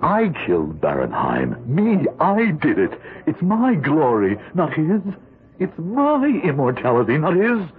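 An actor playing a bitter, glory-hungry juror in a 1970s radio drama, theatrical and vehement. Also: male voice, on the high side, wildly swooping pitch, a little slow, faint music behind. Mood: indignant, desperate. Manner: theatrical, vehement, swinging from brooding to outbursts. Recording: muffled band-limited 1970s radio recording.

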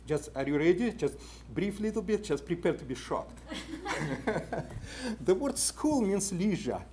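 A man in his fifties with a clear Russian accent lectures at a podium in an indoor meeting room, caught from a distance by the room camera. His pitch rises and falls widely as he talks, with frequent "uh" fillers and questions to the audience. Faint noise sits behind the voice. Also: very animated, slow, darkish tone. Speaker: conference speaker.

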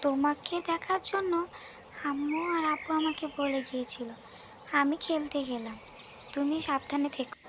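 Woman as a high-voiced young grandchild. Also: moderate pace, natural voice. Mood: eager, dutiful. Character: young grandchild, dutiful and playful. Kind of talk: cartoon fairy-tale voice acting. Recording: noisy, outdoor ambience behind.

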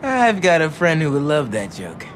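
A man's voice: fairly high pitch, huge pitch swings, normal speed, slight background noise. A man playing a helpful, good-humored young superhero in a video game, talking casually, often to himself. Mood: wry, focused. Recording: loud music over the voice. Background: loud game music.

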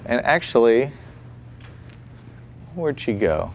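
A man's voice: mid-range voice, very animated, slow pace. A man in his forties giving a casual, folksy face painting demonstration with many restarts, indoors in a small room.

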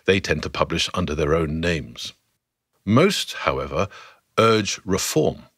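A man narrating a scripted story for a podcast in a theatrical, measured way with dramatic pauses. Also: deep voice, expressive, a bit bright, husky, no room sound, studio quality.

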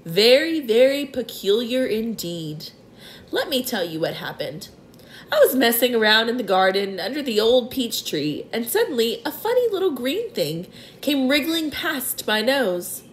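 A woman's voice: fairly high pitch, sing-song, a little slow, slight background noise, pitched above the performer's own voice. A woman voicing a boastful, cheeky male centipede in a storybook reading. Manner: loud, gleeful and boastful.

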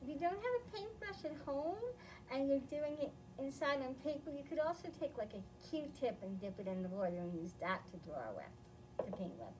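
A woman's voice: high voice, very animated, moderate pace, a little mellow, a little hiss or hum, low-quality recording. A middle-aged woman with an American accent, presenting a children's storytime and explaining things simply to young listeners. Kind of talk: children's read-aloud and simple instruction. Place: indoor library, seated among bookshelves. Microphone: camera several feet away.

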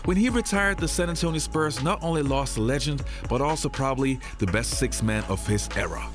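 A man hosting a basketball history show, reading a scripted ranked list in an animated presenter manner. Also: medium pitch, a little fast. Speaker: basketball history channel host. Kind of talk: scripted narration. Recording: background music under voice.

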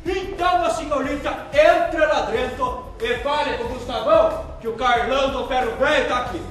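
Man as a pushy, loud junkyard owner; high, demanding, heard from far off in a big hall. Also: normal speed, slight background noise. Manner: brash, demanding, shouting slang. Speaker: stage actor in a comedy sketch. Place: large auditorium stage. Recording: echoey hall from far off, with audience and music.

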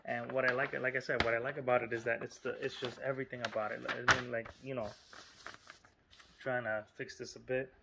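A man's voice: mid-range voice, little pitch movement, fairly quick, creaky, very noisy. Chatty man showing a map. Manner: chatty and informal, lots of fillers and asides. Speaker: vlogger. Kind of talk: casual vlog narration.

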